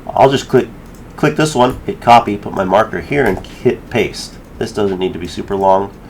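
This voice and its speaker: A man giving a casual software tutorial, informally thinking aloud as he works through each step. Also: lowish voice, moderate pace, slight background noise. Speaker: tutorial creator.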